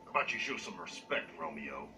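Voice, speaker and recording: A man's voice: sits low, sing-song, a little fast, background noise. A man acting a stern, principled shock trooper in a video game cutscene. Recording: echoey distant TV speakers.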